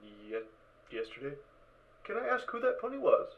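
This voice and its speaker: A man playing a curious, chatty robot watcher in a fan audio drama, with animated, inquisitive delivery. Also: fairly high pitch, normal speed, highs cut off. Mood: curious, friendly. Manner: animated, inquisitive, hesitant phrasing.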